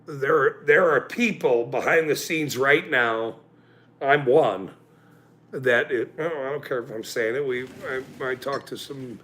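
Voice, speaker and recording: A man in his forties hosting a livestream, ranting angrily with profanity and loud outbursts, then joking about himself. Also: medium pitch, measured pace. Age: 40s. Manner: angry, profane, with loud outbursts and self-mocking laughs. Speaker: channel host. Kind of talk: livestream rant.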